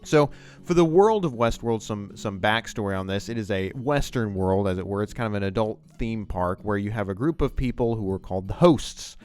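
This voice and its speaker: A man's voice: fairly low pitch, lively intonation, moderate pace, a little mellow, clean tone, soft background music. A man hosting a video essay, explaining things in a loose, conversational and emphatic way. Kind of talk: casual, unscripted explanatory commentary on TV writing.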